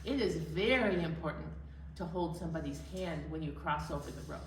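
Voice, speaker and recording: A woman's voice: low voice, lively intonation, fairly quick, a little hiss or hum. A woman hosting a children's library storytime, speaking in simple, encouraging phrases for young children and leading sing-alongs. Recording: roomy, distant mic, music.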